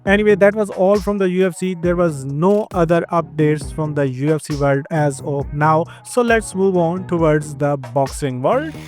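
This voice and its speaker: A young man with an Indian accent hosting an upbeat, scripted fight news show. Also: fairly high pitch.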